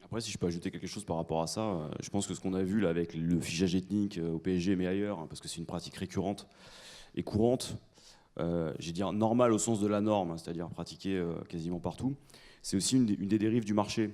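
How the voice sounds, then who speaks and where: Panelist, male, low and mellow, measured emphatic delivery in a lecture hall. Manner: measured, emphatic, polls the audience. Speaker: conference panelist. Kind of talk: explanatory lecture-style talk to an audience.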